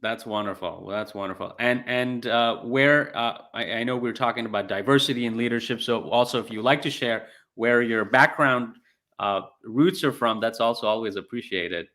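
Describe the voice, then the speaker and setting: A man's voice: medium pitch, normal speed, bright, forceful tone. A man hosting an interview over a video call, hesitant with many fillers between phrases. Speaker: podcast host.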